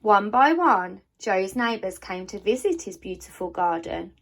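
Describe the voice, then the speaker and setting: A woman reading a children's picture book aloud like a primary teacher, with expressive storytelling, deliberate pauses and emphasised key words. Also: mid-range voice, gravelly.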